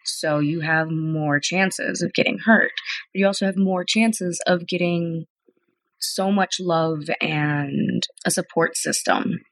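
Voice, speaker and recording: A woman's voice: lowish voice, takes it slowly, a bit bright, dry recording, rough recording. A woman in her thirties, a podcast guest, telling stories in a casual, chatty way. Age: 30s. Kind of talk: casual interview conversation.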